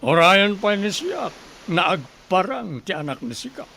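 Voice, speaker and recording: An actor playing a domineering, threatening wealthy master in a radio drama, with a Filipino accent. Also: male voice, sits high, very animated, measured pace, vocal fry, sharp tone, a little hiss or hum, heavily acted. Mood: menacing, imperious.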